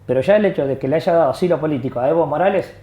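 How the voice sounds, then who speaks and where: Fast-talking Argentine host, echoey studio. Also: male voice, a little high, a crowd in the background. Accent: Argentine Spanish. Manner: interjects, quick questions. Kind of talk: TV studio political discussion. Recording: echoey, slightly dull studio audio.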